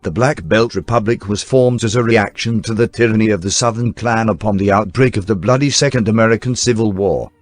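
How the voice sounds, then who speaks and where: A man reading scripted newsreel documentary narration in a formal, measured announcer style. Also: fairly low pitch. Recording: dry voice-over with faint music bed. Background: faint background music.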